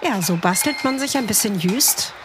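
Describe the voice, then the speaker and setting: A woman hosting a podcast in a chatty, informal, gently teasing conversational style. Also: medium pitch, expressive, moderate pace, very noisy. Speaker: podcast host and author. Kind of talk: conversational interview with narrated passages.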